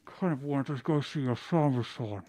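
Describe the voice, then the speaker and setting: Young male anime athlete, grieving and wistful, hushed plaintive delivery, read by a man. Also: medium pitch, moderate pace, close to an everyday voice, poor audio. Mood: subdued, wistful. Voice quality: hushed, sometimes whispered. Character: grieving, lovesick young male anime volleyball player at home.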